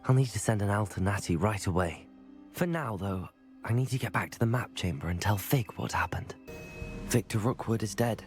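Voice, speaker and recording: A man acting a young student hero from a fantasy game. He sounds earnest and resolute, his lowish voice polite and controlled, with soft music behind it. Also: close to an everyday voice.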